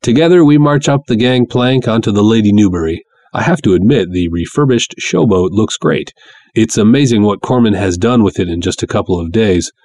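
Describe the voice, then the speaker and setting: A man's voice: on the low side, a little fast, darkish tone, dry recording. A man giving a dramatic first-person narration of a story, as its narrator.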